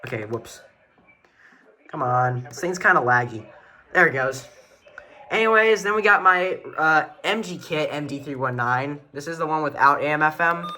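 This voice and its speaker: Bright-voiced man, enthusiastic hobbyist. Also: on the high side, moderate pace. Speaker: collector giving a tour of weather radios. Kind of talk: casual show-and-tell vlog.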